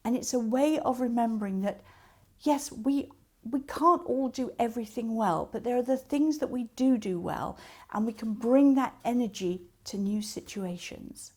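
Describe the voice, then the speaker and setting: A woman with a fairly high voice gives an instructional talk as a coach. She explains practical tips one step at a time, voicing short example remarks as she goes. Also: normal speed, darkish tone. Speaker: creative coach giving advice.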